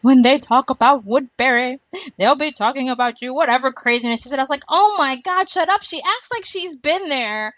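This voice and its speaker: A woman's voice: high-pitched, fairly quick, no echo. Chatty woman on a dull lo-fi mic. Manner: chatty, laughs often, animated asides. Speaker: podcast host. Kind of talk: casual chat about a TV show. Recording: dull lo-fi, dry.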